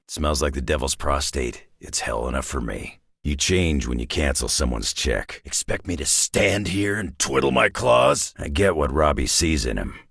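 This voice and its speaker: Very deep, gravelly gruff superhero loner. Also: male voice, fairly bright tone, dry recording, clean studio sound, heavily acted. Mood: brusque, dry. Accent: North American. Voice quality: very deep, gravelly, raspy growl. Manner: gruff, wry, tough-guy slang with dropped endings. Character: gruff, battle-hardened male superhero with claws, sardonic loner. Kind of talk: video game character voice lines.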